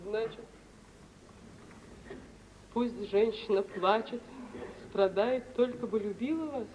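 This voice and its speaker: A woman's voice: medium pitch, slow pace, darkish tone, recorded from a distance, lightly acted. An actress playing a proud, wounded young woman in an old Russian radio drama, earnest and emotional in a theatrical style. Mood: wistful. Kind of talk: mid-20th-century Russian radio theatre acting. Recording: muffled, hissy old radio drama recording.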